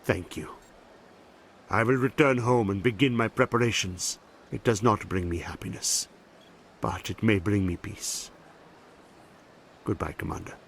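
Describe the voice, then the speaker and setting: Subdued, low-voiced grieving widower. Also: male voice, unhurried, pauses often. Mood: sorrowful. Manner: subdued, courteous. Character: gentle grieving widower, soft-spoken, courteous and sorrowful. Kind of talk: video game voice acting. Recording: faint noise and ambience.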